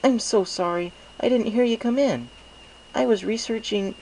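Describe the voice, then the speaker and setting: Storybook unicorn mare, played by a man: young, studious, earnest, breathy, pitched well up, slight background noise. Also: normal speed, darkish tone, low-quality recording. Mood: flustered, apologetic. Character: young female unicorn scholar, bookish, startled, concerned. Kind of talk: acted audiobook character dialogue.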